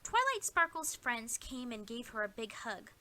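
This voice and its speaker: A woman's voice: on the high side, sing-song, normal speed, pushed and bright, slight background noise. A woman narrating a scripted fan audio story in a dramatic storytelling style.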